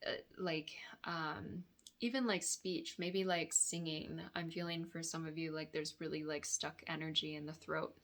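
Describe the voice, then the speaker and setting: A woman with a raspy, dry-throated voice giving a weekly tarot forecast, offering reflective, meandering guidance to her viewers. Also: sits low, steady tone, unhurried, a bit bright. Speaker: tarot reader and yoga therapist.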